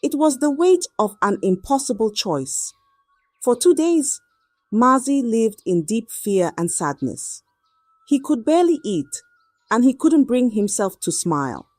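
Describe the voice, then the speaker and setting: A woman narrating a scripted folktale in a dramatic, measured storytelling manner. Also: on the high side, big pitch swings, darkish tone, dry recording, studio quality, faint music behind.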